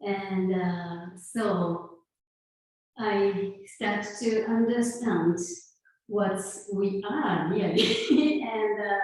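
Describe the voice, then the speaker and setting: A woman in her fifties who grew up in Japan and speaks English with a Japanese accent. She talks in an unhurried, halting way, with soft laughs between thoughts, as a guest in an interview. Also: mid-range voice, a little hiss or hum. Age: 50s. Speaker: guest, sound healer. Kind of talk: conversational interview. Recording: roomy echo, far from mic.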